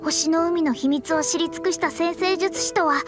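A woman's voice: extremely high pitch, fairly even intonation, normal speed. A woman playing a proud, confident young female astrologer in an anime game, speaking politely with a boastful, self-assured manner. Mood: insistent. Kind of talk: anime game character dialogue. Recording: background music under clean voice.

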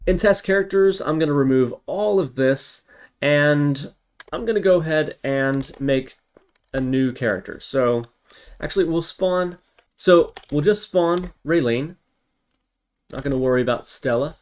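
A man's voice: a little high, measured pace, clean tone. Man talking through a coding tutorial. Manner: step-by-step, thinking aloud while working. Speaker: tutorial instructor. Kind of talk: informal screen-recorded coding tutorial.

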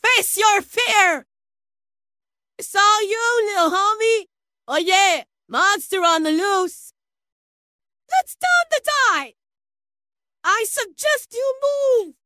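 A woman's voice: very high voice, expressive, slow, long pauses, sharp tone, dry recording, studio quality, heavily acted. A woman voicing a fierce female video game fighter, delivering theatrical, menacing, commanding battle taunts. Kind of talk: performed video game voice lines.

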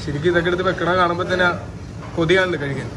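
A man's voice: a little high, steady tone, racing. A man vlogging a restaurant lunch, casually repeating each dish name back as it is served. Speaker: food vlogger. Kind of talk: casual food vlog chat. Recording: noisy restaurant background.

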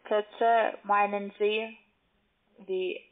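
Halting non-native woman on muffled phone line. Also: medium pitch, flat delivery. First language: not German. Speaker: call centre agent. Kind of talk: phone sales call, step-by-step instructions. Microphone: telephone.

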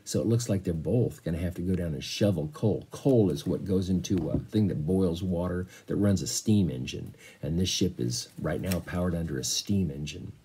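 A man in his fifties reading a children's novel aloud expressively, pausing to explain it to a class. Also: deep voice, mellow, slight background noise. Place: small bedroom, indoors.

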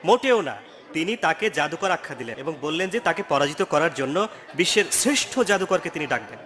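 Male gossip, townsman, quick delivery, raised pitch, cartoon style. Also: lively intonation, fairly bright tone. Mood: informative. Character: gossiping townsman relaying court news. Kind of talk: cartoon voice acting.

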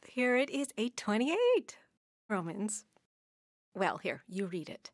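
A woman's voice: medium pitch, very animated, takes it slowly. A woman playing an encouraging, affectionate pastor's wife in a radio drama.

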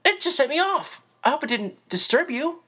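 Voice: high-pitched, big pitch swings, normal speed, sharp tone, pitched above the performer's own voice, exaggerated voice. A reader voicing a flustered, apologetic young boy who talks nervously.

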